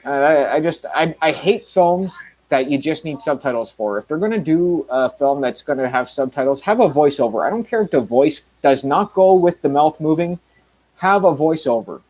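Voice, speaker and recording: A man's voice: on the high side, lively intonation, moderate pace, darkish tone, low-quality recording. A man in his thirties hosting his own channel, chatting casually on a live stream with lots of fillers and restarts. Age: 30s. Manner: rambling, many fillers and restarts. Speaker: channel host.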